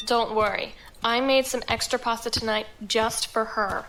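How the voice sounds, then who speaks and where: A woman's voice: fairly high pitch, steady tone, moderate pace, fairly bright tone, slight background noise. A woman playing a frank, cynical mother in an audio drama, blunt and matter-of-fact. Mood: reassuring.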